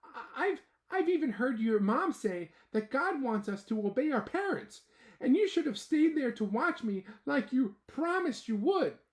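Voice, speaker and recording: A bright, eager little boy ant from a storybook, as a man puts him on, squeaky high and full of bounce. He swoops up and down as he talks, earnest even when he turns serious and preachy. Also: measured pace, heavily acted. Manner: eager, then solemn preachy. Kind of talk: children's storybook reading.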